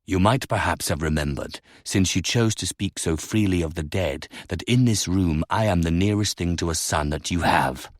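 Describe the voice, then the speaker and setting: A man voicing a grave nobleman who speaks with a heavy, unnaturally calm bitterness. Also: sits low, normal speed, few pauses, dry recording, studio quality, voice pushed down, close to an everyday voice. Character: grave older nobleman, a duke, bitter and controlled.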